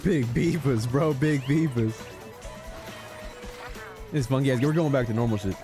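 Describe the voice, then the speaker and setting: A man's voice: medium pitch, unhurried. A man teasing his friend in profane, casual banter while they play a game on stream. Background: game music.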